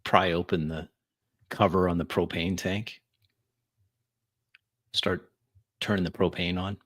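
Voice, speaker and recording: A man playing a tabletop roleplay game, narrating his actions in first person in halting pieces. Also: low voice, level tone, fairly bright tone.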